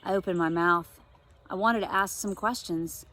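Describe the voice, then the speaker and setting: A woman's voice: on the low side, normal speed, sharp tone. A woman teacher expressively reading a children's novel aloud, adding casual asides for her listeners.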